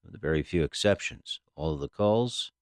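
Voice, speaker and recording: An actress playing a stern, businesslike FBI agent in an audio drama, questioning in a formal, clipped manner. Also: female voice, very deep voice, animated, moderate pace, no room sound, studio quality. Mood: probing, official.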